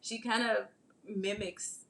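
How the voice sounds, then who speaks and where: A woman's voice: medium pitch, fairly even intonation, takes it slowly, creaky, a little hiss or hum. A woman hosting a casual interview, chatting and telling stories in a conversational way. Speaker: host, marketing strategist and children's book author. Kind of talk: casual interview chat with storytelling.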